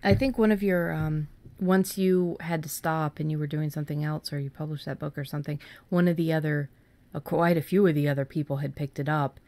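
A woman's voice: sits low, fairly even intonation, fairly quick, mellow, dry recording. A woman co-hosting a podcast in a casual, informal, somewhat rambling conversational style. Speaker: podcast co-host. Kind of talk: casual chat.

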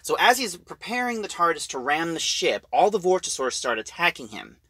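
Male reviewer, slightly high voice, very animated, emphatic and halting as he recounts a plot. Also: breathy edge, no room sound. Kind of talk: informal spoken plot review of an audio drama.

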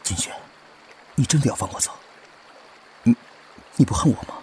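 Man acting earnest young soldier, swooping pitch. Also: huge pitch swings, moderate pace, gravelly, a little mellow, a little hiss or hum. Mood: tender, grave. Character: earnest young male soldier, secret communist, loving and resolute. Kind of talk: Chinese fan radio drama acting.

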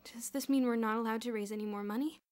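Worried storybook schoolboy, the sensible sidekick, played by a woman, raised in pitch and anxious. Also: animated, normal speed, darkish tone, natural voice.

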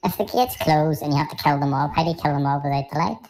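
A woman's voice: sits low, fast, heavy background noise. A woman chatting casually with a group while playing an online game. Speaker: online gamer.

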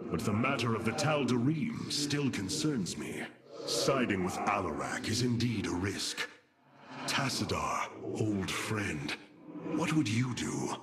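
A man voicing a noble, solemn, resolute alien commander in a video game, speaking in a stately, formal way. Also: mid-range voice. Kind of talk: video game cutscene acting. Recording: loud music behind the voice.

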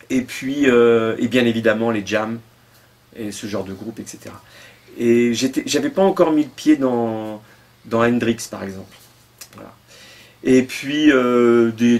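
French guy, middle-aged, rambling on with lots of euh, medium voice, home studio. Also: mid-range voice. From France. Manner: rambling, many fillers and trailing asides. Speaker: guitarist. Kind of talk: casual interview answers. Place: home music studio, indoors.

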